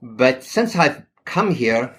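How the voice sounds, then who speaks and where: Middle-aged man, measured and hesitant, interview guest. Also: medium pitch, sing-song, fairly bright tone, slightly rough. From South Africa. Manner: thoughtful, hesitant with fillers. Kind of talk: podcast interview.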